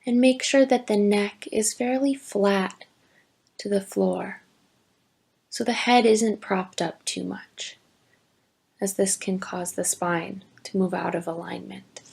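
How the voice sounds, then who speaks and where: A woman teaching yoga nidra, giving gentle, deliberate guided meditation instructions with long pauses. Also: mid-range voice, steady tone, gravelly, breathy edge. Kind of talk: slow guided meditation narration.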